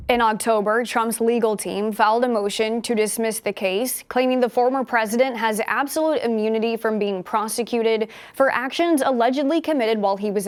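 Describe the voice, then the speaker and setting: An American woman with a fairly high voice presents scripted news as an anchor. Her delivery is measured and formal, in the manner of a newsreader working through prepared copy.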